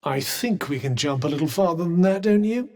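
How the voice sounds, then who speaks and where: An actor voicing a snooty, sardonic talking magical cuff in a video game, with a refined British accent and a dry, pompous manner. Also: male voice, on the high side, lively intonation, fairly quick, darkish tone, natural voice. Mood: mocking, goading. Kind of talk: game companion combat barks. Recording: long hall-like reverb.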